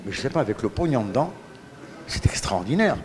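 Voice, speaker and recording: A man in his sixties from France, a radio guest telling lively anecdotes and laughing often. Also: mid-range voice, a little fast, a little hiss or hum. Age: 60s. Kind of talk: storytelling interview.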